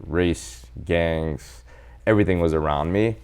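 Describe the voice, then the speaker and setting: Very deep-voiced man in his forties. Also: a little slow, clean tone. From El Salvador. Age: 40s. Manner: animated, quotes people in his stories. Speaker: guest doctor. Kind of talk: casual interview storytelling.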